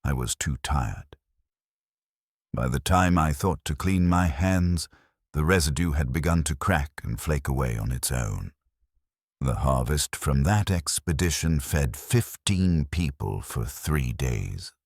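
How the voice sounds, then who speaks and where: An extremely deep-voiced man reads a grim sci-fi horror tale. He takes his time, with a grave, measured delivery that still moves noticeably in pitch. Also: expressive, no room sound, clean studio sound. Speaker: narrator. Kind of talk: scripted first-person dark sci-fi horror narration.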